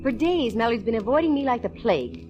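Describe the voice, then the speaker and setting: An actress playing a confident, nosy private eye in a breezy, slangy 1940s radio style. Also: female voice, on the high side, lively intonation, fast talker, a little mellow, lo-fi sound, close to an everyday voice. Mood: brisk, exasperated. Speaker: lead actress in a radio detective serial. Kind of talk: 1940s radio acting, hard-boiled narration and dialogue. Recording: vintage radio show, music behind.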